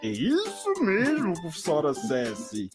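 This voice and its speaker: Man doing a lively, slow-talking cartoon tutor. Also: a little high, music in the background. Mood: instructive. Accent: Cape Verdean. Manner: sing-song, deliberate teaching delivery. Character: cartoon male science tutor Tuti, slow, playful, explanatory. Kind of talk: children's educational cartoon.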